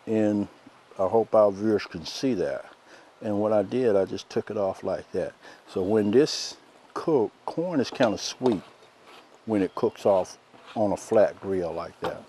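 A soft-spoken middle-aged man, demonstrating a recipe outdoors as a cooking show host in a relaxed, folksy way. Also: lowish voice, unhurried, slight background noise. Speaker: chef host. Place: outdoors by a lake in woods.

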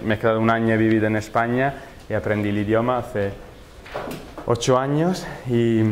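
A man in his thirties with an Italian accent delivers a technical presentation in Spanish, his low voice carried by a handheld microphone in a lecture room. He speaks in halting, self-correcting phrases as a non-native speaker, and a faint background hiss sits under the recording. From Italy. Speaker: engineer giving a workshop talk. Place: large lecture room with projector.

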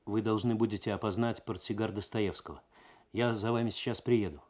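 A man's voice: lowish voice, steady tone, brisk, a little mellow, lightly acted. Keen young detective, read by a man. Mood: matter-of-fact. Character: keen, teasing young male police detective.

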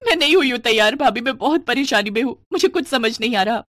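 A woman's voice: fairly high pitch, animated, fast, fairly bright tone, no room sound. A woman voicing a vain, petulant young bride in a cartoon story, with a whiny, self-important manner. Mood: distressed. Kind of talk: animated moral story dialogue.

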